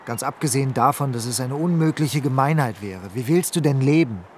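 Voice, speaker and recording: An actor playing a persuasive lover who talks low, coaxing and insistent, in a noisy old radio play recording. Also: male voice, a little fast, natural voice. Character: smooth persuasive male lover, urging escape. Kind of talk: radio drama acting.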